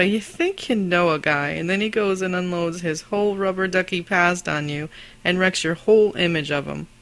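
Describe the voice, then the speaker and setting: Lively, expressive young wife played by a woman. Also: mid-range voice. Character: practical, teasing young wife, fond of her husband. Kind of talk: radio drama acting.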